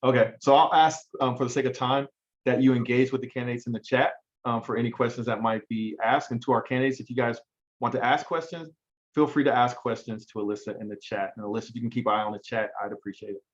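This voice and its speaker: A man's voice: mid-range voice, steady tone, fast talker. A man hosting and moderating a virtual event in a polite, courteous way, on slightly dull audio. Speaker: event host.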